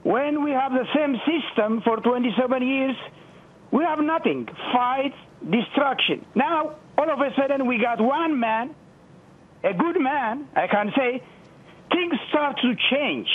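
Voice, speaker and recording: Male caller, Ethiopian accent, impassioned opinion, very high rough-edged voice, narrow telephone sound. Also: expressive, unhurried. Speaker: phone-in caller. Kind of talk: impassioned opinion on a talk show call. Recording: muffled, thin telephone line.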